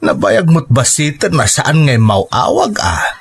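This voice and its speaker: A husky, animated worried Filipino father. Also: medium pitch, a little slow, exaggerated voice. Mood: concerned. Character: worried, doting middle-aged father, soft-hearted family man. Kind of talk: Ilocano radio drama acting.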